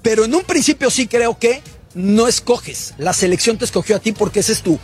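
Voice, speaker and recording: Husky high-voiced football analyst man. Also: lively intonation, fairly quick, soft background music. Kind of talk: studio football analysis chat.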